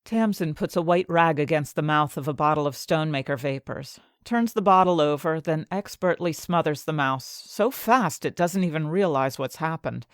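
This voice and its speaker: An actress playing an earnest, anxious young woman chemist in a fantasy audio drama, confiding her own story in an expressive way. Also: sits low, moderate pace, few pauses, no echo, studio quality, natural voice. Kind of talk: first-person scripted narration in fantasy audio drama.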